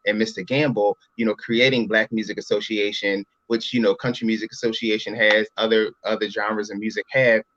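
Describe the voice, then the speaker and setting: A man's voice: fairly low pitch, little pitch movement, a little fast, hardly any pauses, fairly bright tone, muffled recording. A man in his late thirties from Philadelphia, a guest in a casual interview, talking in long rambling runs and often adding "you know what I'm saying". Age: 30s. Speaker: interview guest, brand entrepreneur.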